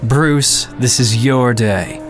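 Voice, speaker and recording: A man playing an earnest, downcast hero in everyday guise in an audio drama, subdued and measured. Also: mid-range voice, music in the background, lightly acted. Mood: polite, guarded.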